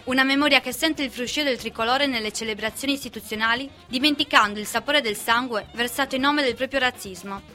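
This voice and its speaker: A woman's voice: fairly high pitch, fast, few pauses, pushed and bright, soft background music. A young woman from Italy co-hosting a history radio segment and reading a scripted commentary. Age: young adult.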